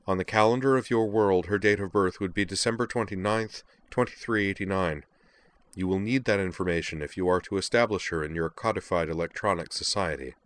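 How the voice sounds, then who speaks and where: A man's voice: deep voice, fairly quick, a bit bright. A man voicing a tiny, commanding mage in a fantasy audiobook, declaiming formally and intensely. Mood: solemn. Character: tiny pompous illusory mage, urgent and commanding.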